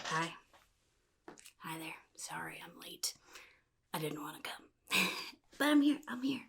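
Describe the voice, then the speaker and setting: A woman voicing a chaotic, jittery male clown supervillain in soft-spoken ASMR roleplay, half-whispering and rambling in halting, sly phrases. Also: fairly high pitch, exaggerated voice. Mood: scattered, sly. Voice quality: breathy, gravelly, half-whispered. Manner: halting, rambling, sly, addresses listener as kid.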